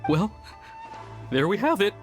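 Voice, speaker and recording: A woman playing a resigned, downcast young character in a video game, speaking haltingly. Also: mid-range voice, sing-song. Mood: dejected. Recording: clear game music behind.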